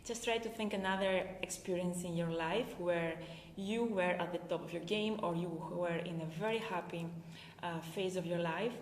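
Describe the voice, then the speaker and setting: A woman's voice: on the low side, moderate pace, slight background noise. A Greek woman speaking English with a Greek accent, a performance coach talking live to her viewers, hesitant with many filler sounds. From Greece. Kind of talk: live-stream talk to viewers. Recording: echoey room with long reverb.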